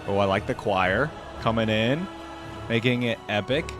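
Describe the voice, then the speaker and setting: Male reaction host, low register, casual commentary, music playing clearly behind. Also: normal speed.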